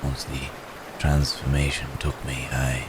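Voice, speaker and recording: A man voicing a gentle, remorseful wounded werewolf in an intimate ASMR roleplay, speaking haltingly and formally, as if in pain. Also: very deep voice, completely flat delivery, noisy background. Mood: haunted, remorseful. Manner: halting, hesitant, soft-mannered storytelling with formal wording. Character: wounded male werewolf, gentle, remorseful, recounting his escape.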